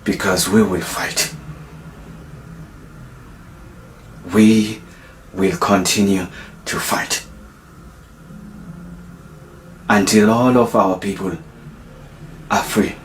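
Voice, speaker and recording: A man acting a defiant, resolute young freedom fighter on trial in a dramatic monologue, speaking deliberately with long pauses. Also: on the low side, fairly even intonation, a little hiss or hum. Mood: grave, determined. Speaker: actor.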